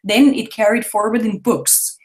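A woman's voice: on the high side, fairly even intonation, measured pace. Enthusiastic woman on a call. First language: German. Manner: enthusiastic, talkative, laughs often. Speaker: travel blogger guest. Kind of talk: casual interview.

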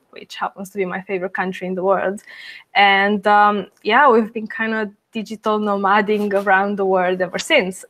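A woman's voice: medium pitch, a bit bright. A woman in her twenties from Romania, a guest on a travel podcast, answering in a friendly way with frequent "um"s. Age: 20s. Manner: friendly, many um fillers, laughs lightly. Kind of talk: podcast interview.

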